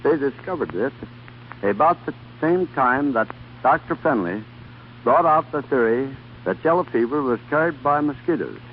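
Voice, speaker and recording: A man's voice: medium pitch, lively intonation, normal speed. A man recounting his experience as a radio guest, sincere and solemn. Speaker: interview guest, former volunteer. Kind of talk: earnest recounting on 1930s radio. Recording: tinny archival radio recording with hum.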